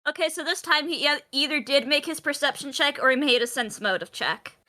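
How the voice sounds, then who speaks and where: A woman's voice: high voice, brisk, sharp tone. A woman chatting casually and jokingly as a cast member in a live table read. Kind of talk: casual chat with tabletop-game jokes.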